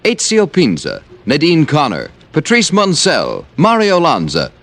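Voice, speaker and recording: A man's voice: on the high side, wildly swooping pitch, a little slow, fairly bright tone. A man reading a 1950s radio advertisement as a polished, emphatic announcer. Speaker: commercial announcer.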